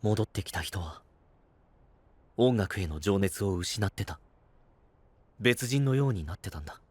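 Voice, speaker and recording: A man playing a dutiful guardian knight in an anime-style voice drama, grave, gentle and earnest. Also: lowish voice, lively intonation, a little slow, husky, no echo. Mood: sorrowful, grave.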